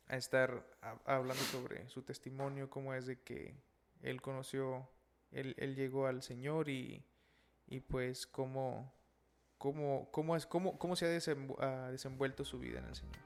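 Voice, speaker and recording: A man's voice: mid-range voice, flat delivery, a little slow, vocal fry, slight background noise. Chatty man hosting a faith podcast. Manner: chatty, many fillers and restarts. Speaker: podcast host. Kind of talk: casual religious podcast hosting.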